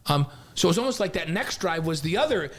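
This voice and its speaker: A man's voice: a little high, lively intonation, fairly quick. A man hosting a sports talk show, explaining game strategy in a casual, rambling way.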